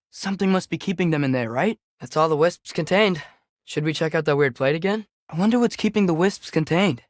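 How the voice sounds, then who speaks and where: A man's voice: a little high, no room sound, close to an everyday voice. An earnest, alert adolescent boy from a fantasy video game, played by a young man, speaking with an American accent. His voice is light and youthful, recorded close and dry. He talks casually and curiously, like a companion pointing out what he notices. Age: teenager. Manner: casual, conversational, observant.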